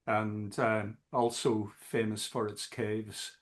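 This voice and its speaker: A man talking as a guest musician in a casual interview, halting often with fillers. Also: fairly low pitch, flat delivery. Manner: slow, halting, many fillers.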